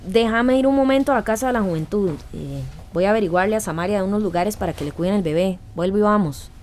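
A woman's voice: medium pitch, fast, a little mellow, slight background noise, lightly acted. A woman with a Costa Rican accent playing a defensive, withdrawn young adult daughter in a radio soap opera. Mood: defensive, weary.

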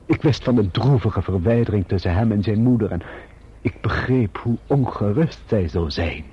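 An actor playing a formal, servile and self-serving manservant in a Dutch radio drama, with a Netherlands accent and measured delivery. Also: male voice, fairly low pitch, expressive, breathy edge. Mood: formal, self-justifying. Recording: muffled old radio drama recording.

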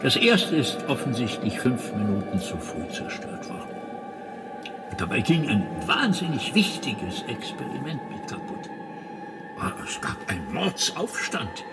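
An actor playing an eccentric, proud older alien planet builder in a radio drama, rambling and hesitant, often dropping to a near-whisper. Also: male voice, medium pitch, very animated, a little slow, over loud music. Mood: excited, confiding.

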